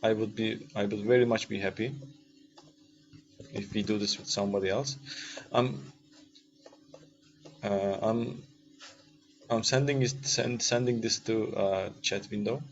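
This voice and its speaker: A man's voice: lowish voice, fairly even intonation, unhurried, long pauses. Turkish guy teaching a class online, casual, stops and asks stuff, dull recording w/ bit of noise. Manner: casual, hesitant phrasing, often checks understanding with questions. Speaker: radiology lecturer. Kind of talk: informal interactive lecture. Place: online video-call class.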